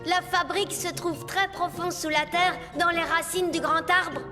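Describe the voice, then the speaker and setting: A woman's voice: very high voice, steady tone, moderate pace. A woman voicing an eager, cheerful little elf boy in a French children's cartoon, chirpy and enthusiastic. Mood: helpful, eager. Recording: music bed, long reverb.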